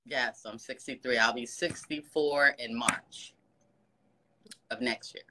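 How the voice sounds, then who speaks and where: A woman's voice: rumbling low, steady tone, slow, noisy background. A woman in her sixties on a casual phone video chat, gushing with praise and encouragement, talking to the camera from an office. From United States. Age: 60s. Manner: gushing, warm praise with frequent laughs. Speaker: fan on a video call. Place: office with ceiling lights. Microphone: phone camera held close.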